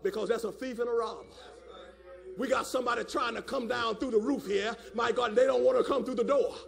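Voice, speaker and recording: A man a fiery call-and-response sermon, emphatic and repetitive, often rising to a shout, with a gravelly voice. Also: very high-pitched, brisk. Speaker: preacher.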